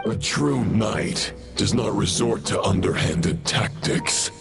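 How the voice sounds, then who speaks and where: A proud fallen knight in a video game, his voice thick with gravel. He declaims slowly in grave, archaic, formal speech, with music behind him. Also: fairly low pitch, heavily acted. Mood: solemn, measured. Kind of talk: dramatic video game voice acting.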